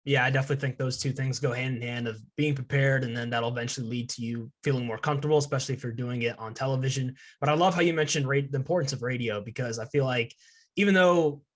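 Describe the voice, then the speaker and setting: A man's voice: medium pitch, fairly even intonation, quick pace. A young man, a student podcast host, interviewing a guest in a conversational style. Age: young adult.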